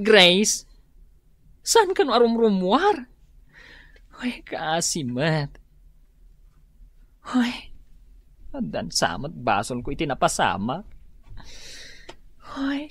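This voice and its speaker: A woman's voice: medium pitch, no echo. A woman with a Filipino accent playing an anxious, flustered friend in an Ilocano radio drama, full of exclamations and sighing laments.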